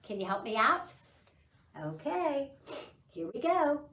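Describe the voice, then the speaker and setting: A middle-aged woman hosting a children's storytime, reading aloud and talking to young children in an animated, encouraging way. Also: high-pitched, takes it slowly. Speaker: library storytime host. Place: small indoor library room. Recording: roomy echo, distant microphone.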